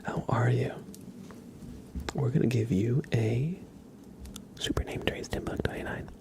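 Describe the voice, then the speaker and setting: A man hosting a live ASMR stream, talking casually and haltingly to his viewers. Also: medium pitch. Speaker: livestream host.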